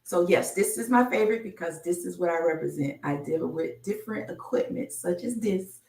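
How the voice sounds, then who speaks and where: A woman reading a children's picture book aloud on a live stream, enunciating deliberately like a teacher. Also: fairly low pitch, vocal fry, a little mellow. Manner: slow, deliberate enunciation with teacherly announcements of each letter. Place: indoor room, live stream. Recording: roomy, echoey, distant microphone.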